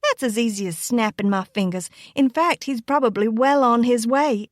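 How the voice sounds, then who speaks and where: Woman voicing a haughty, spiteful young belle, high-pitched. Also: normal speed, a little mellow, no echo, clean studio sound, lightly acted. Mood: scornful, insistent. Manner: emphatic, sneering, scornful. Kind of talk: audiobook character acting.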